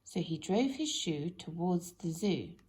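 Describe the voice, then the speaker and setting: Lively woman reading a children's storybook. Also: lowish voice, a little slow. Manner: animated, addresses young listeners with questions. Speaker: storybook reader. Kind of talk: expressive children's story narration.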